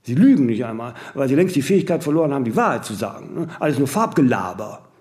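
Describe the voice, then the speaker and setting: An actor playing a vain, ageing celebrity in a radio play, imperious, aloof and rambling in aphorisms with little tag questions. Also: male voice, medium pitch, sing-song, fast, darkish tone, lightly acted. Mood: musing, pontificating. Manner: haughty, rambling, aphoristic, with clipped tag questions and self-corrections. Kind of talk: radio play monologue in dialogue.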